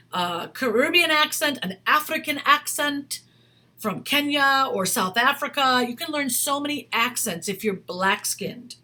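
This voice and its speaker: A bright, fairly high-voiced woman in middle age talks straight to camera. She is an acting coach whose advice is chatty and emphatic, with a forward, ringing tone. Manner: chatty, emphatic, reads a viewer's email aloud. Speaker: acting career coach and talent manager. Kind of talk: casual direct-to-camera advice video.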